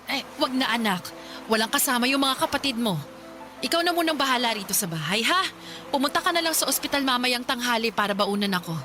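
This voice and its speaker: A woman's voice: fairly high pitch, lively intonation, fairly quick, sharp tone, soft background music. An actress playing a worried mother of six, the wife of a poor fisherman, in a radio drama. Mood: firm. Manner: anxious, tearful.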